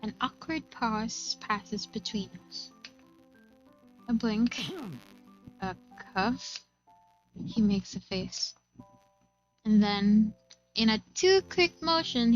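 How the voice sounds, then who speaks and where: A woman streamer reading visual novel narration aloud in an expressive storytelling manner. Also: on the high side, dull audio. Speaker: gaming streamer. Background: faint game music.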